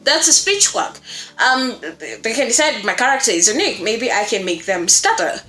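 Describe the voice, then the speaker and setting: A woman instructor explaining a lesson in an expressive way, repeating words for emphasis. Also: on the high side, moderate pace. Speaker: voice acting instructor. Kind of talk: explanatory lesson on creating character voices. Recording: roomy echo, faint music behind.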